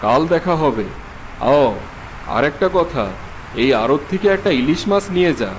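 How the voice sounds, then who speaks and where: A man's voice: a little high, animated, normal speed, a little hiss or hum, natural voice, low-quality recording. A man playing a kindly but authoritative fish-market boss in a cartoon folk tale. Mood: benevolent.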